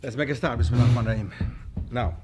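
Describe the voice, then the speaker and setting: A man in his forties from Germany, giving a lecture at a table mic in a university lecture room and telling stories with lively gestures. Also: medium pitch, racing, very noisy. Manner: animated, gestures with both hands, tells stories. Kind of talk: university talk with audience questions. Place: lecture room with projection screen. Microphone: table mic on a stand.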